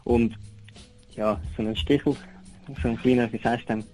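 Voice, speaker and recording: A man's voice: medium pitch, steady tone, moderate pace, a little mellow, soft background music, poor audio. A man with a Swiss German accent giving a casual interview as a rapper, talking loosely with English slang mixed in. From Aargau, Switzerland. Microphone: telephone.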